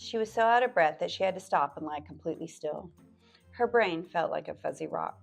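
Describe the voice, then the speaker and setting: A woman's voice: low voice, a little fast, creaky, soft background music. A woman library storyteller reading a picture book aloud to children, stretching words out and dropping into playful whispered asides.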